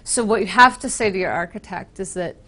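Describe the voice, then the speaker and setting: A woman from Boston with an American accent, giving a casual, explanatory software presentation with frequent ums. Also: medium pitch, fairly quick. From Boston, United States. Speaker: software trainer. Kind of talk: conference presentation.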